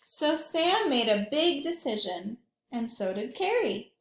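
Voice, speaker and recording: A high, very lively woman's voice reads a picture book to small children in a roomy space. She takes her time and adds simple asides to explain the story. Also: takes it slowly, slight background noise. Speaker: early childhood read-aloud storyteller.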